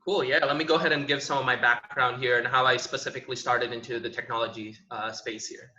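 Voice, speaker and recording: A young man from Indonesia on an online panel, telling his personal story in a relaxed, conversational way full of "you know" and "um". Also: mid-range voice, fast talker, a little hiss or hum. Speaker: panel speaker, tech professional. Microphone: video call. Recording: echoey room, dull lo-fi audio.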